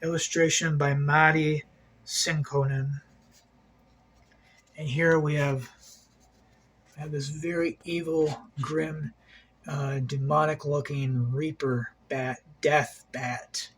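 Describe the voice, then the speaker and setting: A man's voice: fairly high pitch, level tone, takes it slowly, pauses often, a bit bright, slightly rough, low-quality recording. A man hosting an occult video, talking in a rambling, agitated monologue, swearing a lot and repeating himself.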